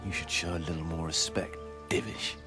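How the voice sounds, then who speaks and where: Man acting a very deep-voiced haughty young captain. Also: little pitch movement, brisk, over music, close to an everyday voice. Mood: menacing. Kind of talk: dramatic video game acting.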